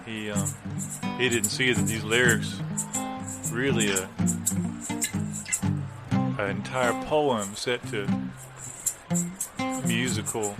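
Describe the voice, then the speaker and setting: Forlorn boozy busker played by a man, low voice, meandering deadpan patter, prominent guitar behind. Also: slow, long pauses, poor audio. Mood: rambling, wistful. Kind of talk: comic monologue to live audience over guitar. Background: acoustic guitar playing.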